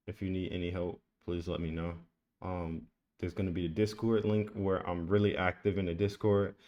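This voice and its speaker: A man's voice: low-pitched, a little mellow. A man giving a casual trading lesson, talking through a chart on screen in a loose, repetitive way. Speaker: trading educator. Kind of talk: screen-share trading tutorial.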